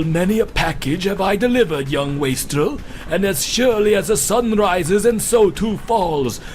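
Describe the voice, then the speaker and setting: A grandiose, morbid courier of death from a video game, pitched high for a man. He draws out archaic, theatrical lines very slowly and ominously, with faint music behind. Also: darkish tone. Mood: grandly ominous. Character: grandiose morbid wasteland courier who believes he is death's ferryman. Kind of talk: dramatic video game monologue.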